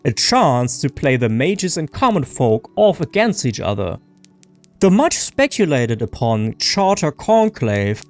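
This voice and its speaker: Male narrator for fantasy lore audiobook: measured storyteller, lively swings in pitch, soft music underneath. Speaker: narrator reading game lore books. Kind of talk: scripted audiobook-style narration. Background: music behind the voice.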